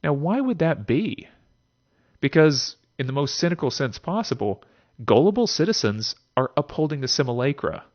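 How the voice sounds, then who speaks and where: A man's voice: on the high side, big pitch swings, normal speed. A man hosting an explanatory philosophy monologue in a conversational way, with theatrical emphasis and rhetorical check-in questions. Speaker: host of a philosophy talk series. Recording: dull lo-fi sound. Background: music.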